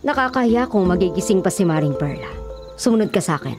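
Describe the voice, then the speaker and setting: A woman's voice: mid-range voice, expressive, fast talker, lightly acted. Actress playing strict mom in her middle years, radio drama, questioning and stern, music in the background. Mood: curt, commanding. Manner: stern, interrogating. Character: stern, suspicious middle-aged mother. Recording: music behind the voice.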